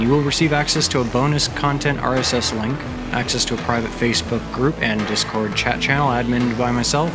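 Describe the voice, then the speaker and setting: A man hosting his own podcast, narrating a story and giving announcements in a steady, measured way. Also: mid-range voice. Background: background music.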